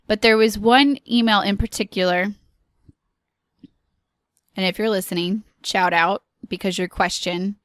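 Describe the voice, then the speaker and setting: Slow, earnest woman podcast host. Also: mid-range voice, a bit bright, dry recording, studio quality. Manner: earnest, encouraging, slow with pauses and filler ums. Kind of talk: solo podcast monologue.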